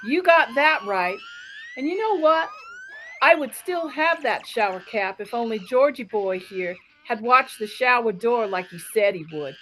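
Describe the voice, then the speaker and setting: Woman as high-voiced, nagging tourist Maggie. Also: expressive, unhurried, fairly bright tone, a little hiss or hum, rough recording. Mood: scolding. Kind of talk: 1940s radio comedy acting.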